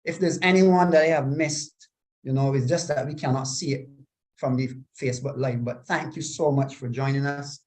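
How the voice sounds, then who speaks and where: A man's voice: mid-range voice, steady tone, moderate pace, darkish tone. A man moderating a live online panel on a video call, courteous and a little apologetic as he reads out viewer comments.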